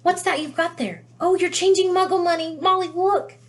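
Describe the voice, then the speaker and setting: A woman voicing an excitable, indignant middle-aged wizard father in a storybook, eager and emphatic. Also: extremely high pitch, moderate pace, voice pushed up. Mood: delighted.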